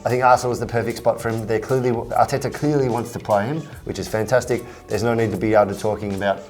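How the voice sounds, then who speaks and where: A man's voice: fairly low pitch, fairly even intonation, fast, a little mellow, soft background music. A man co-hosting a casual football chat, sounding nasal and blocked up, easygoing with many fillers. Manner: easygoing, lots of fillers and agreement. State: congested. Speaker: co-host.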